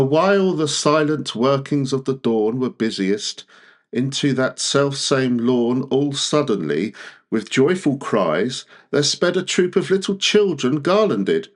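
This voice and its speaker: A man reading classic verse aloud in a measured, deliberate way, pausing often between phrases. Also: mid-range voice. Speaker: poetry reader. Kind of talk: literary poetry recitation.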